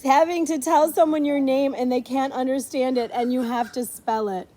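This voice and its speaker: A middle-aged woman reading a picture book aloud to a child, playfully animated, with dramatic pauses and chatty asides. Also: high-pitched, a little hiss or hum. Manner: playful, dramatic pauses before key words.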